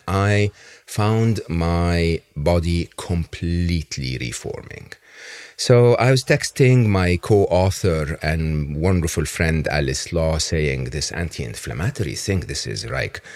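A man's voice: deep voice, lively intonation, takes it slowly, darkish tone. A man hosting an interview podcast, introducing his guest in a reflective way with frequent fillers. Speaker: podcast host.

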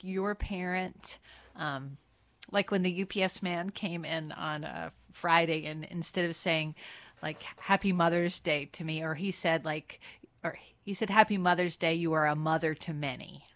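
Low-voiced woman pastor. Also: moderate pace, fairly bright tone. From upstate New York. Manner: hesitant, many fillers, reflective. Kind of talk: casual interview chat.

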